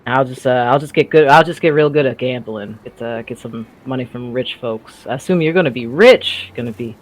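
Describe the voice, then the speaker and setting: A man's voice: mid-range voice, brisk, natural voice, low-quality recording. Man playing an awkward, stammering young sidekick. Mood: hopeful, rambling. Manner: hesitant, stammering, rambling. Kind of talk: improvised tabletop audio drama. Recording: music behind the voice.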